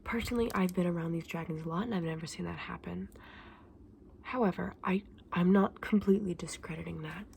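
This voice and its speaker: Casting: woman performing as a chatty, gentle shop saleswoman for ASMR roleplay; hushed, often whispered delivery, gravelly fry, faint noise behind. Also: fairly low pitch. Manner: gentle, unhurried, informal explaining. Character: gentle, chatty woman selling dragon eggs. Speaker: ASMR roleplayer playing a shopkeeper.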